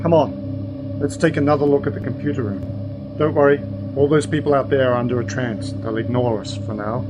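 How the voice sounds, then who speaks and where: A man's voice: mid-range voice, a little fast, over loud music, lightly acted, rough recording. A man acting a commanding, principled uncle and scientist hero in a science fiction radio play, explanatory and resolute.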